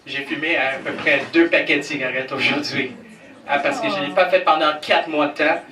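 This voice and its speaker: A man's voice: a little high, steady tone, moderate pace. A male stand-up comedian whose first language is English, teasing the crowd with crude, swearing banter and admitting he is nervous. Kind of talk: stand-up set in a comedy club. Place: comedy club stage. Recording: echoey club from far off, noisy.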